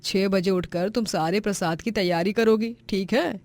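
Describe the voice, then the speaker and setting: A woman's voice: medium pitch, moderate pace, a little mellow, no room sound, close to an everyday voice. A woman voicing a stern middle-aged mother-in-law in a family moral story, bossy in manner. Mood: commanding. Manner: bossy, scolding. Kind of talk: Hindi moral story voice acting.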